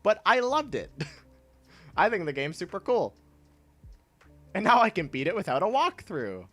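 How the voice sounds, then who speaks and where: A young man talks casually on a livestream, his voice a little stuffy from congestion. He rambles and restarts his sentences, fairly high for a man, and his pitch swings around animatedly. Faint game music plays underneath. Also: very animated, a little slow, fairly bright tone. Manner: rambling, self-interrupting, laughs often. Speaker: streamer. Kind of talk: casual livestream chat, reading and answering chat.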